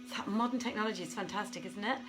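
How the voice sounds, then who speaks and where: A woman's voice: mid-range voice, fast, background noise. A woman hosting a casual livestream at home, chatting and muttering to herself as she goes, with an apologetic manner. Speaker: host of a live online reading. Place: home room with desk and piano. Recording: livestream with music playing behind. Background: soft background music.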